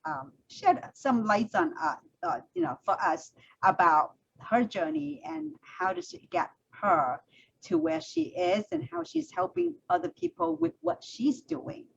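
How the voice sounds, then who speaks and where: A woman hosts an interview with a lively, animated delivery and a gravelly edge to her voice. She talks thoughtfully and reaches for fillers often. The video-call audio is dull and lo-fi, with a faint hiss underneath. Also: high voice, a little slow. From Vietnam. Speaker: host. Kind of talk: conversational interview.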